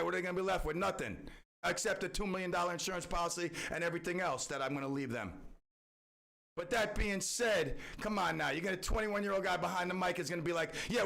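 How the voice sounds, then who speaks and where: A man's voice: high-pitched, gravelly, a bit bright. A middle-aged man hosting a talk radio show, ranting in a boastful, theatrical way. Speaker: radio host.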